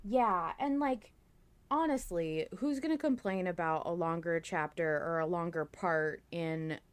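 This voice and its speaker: Casting: female podcast co-host, lowish pitch, chatty and informal, recorded very dry. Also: measured pace, smooth, no echo. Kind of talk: casual chat.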